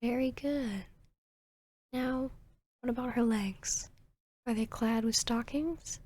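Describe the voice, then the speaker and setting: A woman's voice: a little high, slow, a little mellow. A woman voicing a seductive, arrogant vampiress posing as a therapist in an ASMR roleplay, half-whispering in a soothing, commanding, teasing way. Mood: soothing, probing. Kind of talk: ASMR roleplay, hypnotic guided induction.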